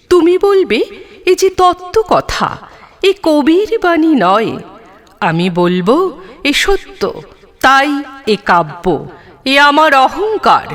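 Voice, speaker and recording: A woman with a high voice gives a solemn poetry recitation. She takes it very slowly, placing deliberate pauses between phrases. Her delivery is measured, expressive and dramatic.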